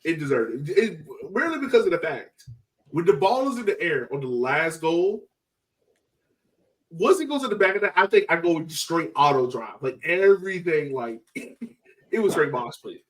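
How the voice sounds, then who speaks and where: Guy hosting a sports fan podcast, slangy and excitable, sitting a bit back from the mic. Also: on the high side, moderate pace, distant mic, low-quality recording. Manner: animated, slangy, exclaiming. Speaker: podcast host.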